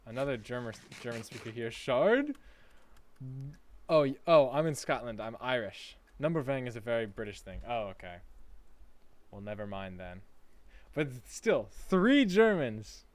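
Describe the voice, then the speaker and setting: A man's voice: mid-range voice, sing-song, measured pace, no echo. A man chatting casually on a gaming livestream, playful, reading chat aloud and laughing often. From United States.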